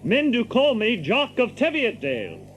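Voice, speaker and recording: An actor playing a proud, defiant ragged stranger archer in an audio drama. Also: male voice, very high voice, wildly swooping pitch, measured pace, soft background music, full character voice. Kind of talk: theatrical audio drama acting.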